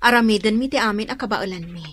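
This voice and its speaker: A woman acting a hopeful young female student in an Ilocano radio drama, soft and affectionate, with an Ilocano accent. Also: mid-range voice, fast, a little hiss or hum, natural voice. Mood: earnest. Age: young adult.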